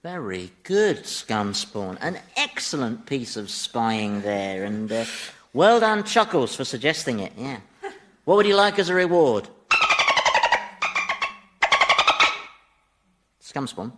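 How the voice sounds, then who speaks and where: A sly, mock-innocent Devil, animated. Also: male voice, mid-range voice, a little slow, slight background noise. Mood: smug. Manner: teasing, chuckling, mock-innocent delivery. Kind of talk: radio sitcom acting.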